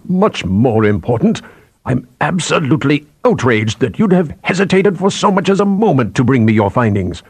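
A man's voice: medium pitch, creaky, a little mellow, slightly rough, no room sound, voice pushed up. A man voicing a stern, austere old head scientist with an emphatic, commanding manner in an audiobook. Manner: ominous, emphatic.

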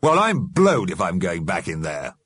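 A man's voice: low voice, huge pitch swings, normal speed, a bit bright, husky, dry recording, clean studio sound, heavily acted. A man voicing a blustery, restless dead alderman, grumbling and blunt, for a storybook. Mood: defiant grumbling.

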